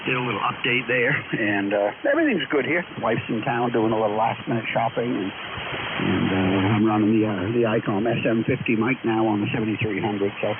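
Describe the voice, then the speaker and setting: A man's voice: lowish voice, a little fast. A man in his sixties chatting casually over amateur radio in an easygoing, matter-of-fact way. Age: 60s. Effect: radio transmission. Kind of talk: casual ham radio chat. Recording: noisy narrowband ham radio signal.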